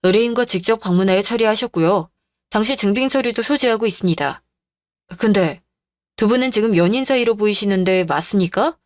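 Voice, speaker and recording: A woman voicing a calm female lawyer in a radio drama, speaking in a formal, businesslike way. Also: mid-range voice, fairly quick, dry recording, natural voice. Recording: dry studio voice-over with faint music.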